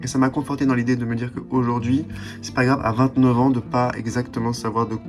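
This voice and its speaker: A man's voice: medium pitch, little pitch movement, quick pace. A man in his late twenties giving a candid, hesitant personal vlog monologue, searching for his words as he reflects. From Switzerland or France. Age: late 20s. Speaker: vlogger. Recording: background music.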